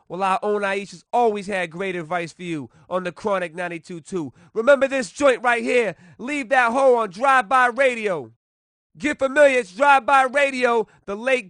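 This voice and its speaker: A man's voice: extremely high pitch, a bit bright, no room sound, studio quality. A man performing as a brash, hyped-up hip-hop radio DJ, announcing in punchy, slangy, promotional patter. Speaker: radio DJ host.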